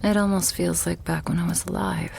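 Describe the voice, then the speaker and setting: A woman playing a loving, possessive vampire girlfriend in an intimate ASMR roleplay, tender and hushed, with breathy sighs between phrases. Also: fairly low pitch, fairly even intonation, brisk, a little hiss or hum. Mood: wistful, tender.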